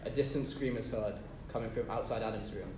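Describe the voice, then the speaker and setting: A man's voice: lowish voice, steady tone, a little fast, a little mellow. A man narrating stage directions at a script table read. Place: echoey room, off-mic. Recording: echoey, noisy, distant microphone.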